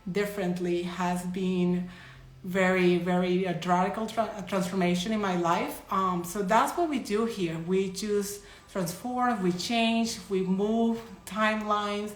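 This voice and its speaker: A woman's voice: medium pitch, steady tone, a little slow. A woman in her thirties from Colombia with a Colombian Spanish accent, a psychic medium chatting loosely to her followers on a live stream with frequent restarts. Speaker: psychic medium and energy healer. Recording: echoey room.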